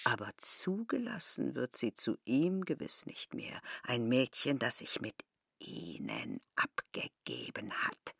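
An actress playing a proud, reproachful village innkeeper's wife in a radio drama, emphatic and often dropping into whispers. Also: female voice, very deep voice, huge pitch swings, a bit bright, full character voice. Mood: indignant. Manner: slow, emphatic, drops into breathy whispers. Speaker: actor. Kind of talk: literary radio drama acting.

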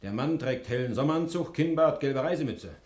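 Echoey dutiful detective's assistant played by an actor. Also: male voice, medium pitch, expressive, a little fast, noisy background, natural voice. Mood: businesslike. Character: dutiful male detective's assistant giving reports. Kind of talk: 1960s German radio play acting. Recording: roomy, echoing studio sound.

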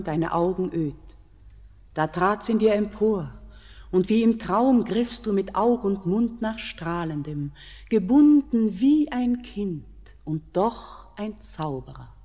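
A slow, solemn mountain queen. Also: mid-range voice. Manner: slow, solemn, sinking to hushed whispers. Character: mysterious queen of the mountain, seductive and commanding. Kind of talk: classic German radio play acting. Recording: long hall reverb.

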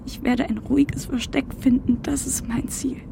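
Creaky, whispering fugitive woman. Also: high voice, steady tone, noisy background. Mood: pensive, secretive. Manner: whispered, slow, pensive. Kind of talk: radio drama inner monologue.

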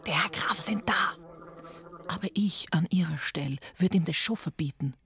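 Nosy landlady, fairly low pitch, hushed, scandalized, confiding, radio play. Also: normal speed. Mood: indignant, confiding. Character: gossipy, indignant, disapproving landlady. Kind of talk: German radio play acting.

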